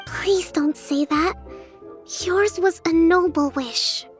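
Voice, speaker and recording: A woman playing a gentle, wise young priestess and strategist in a video game, earnest, articulate and reassuring. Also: very high voice, slow pace, soft background music. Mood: gentle, solemn. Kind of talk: scripted video game voice acting.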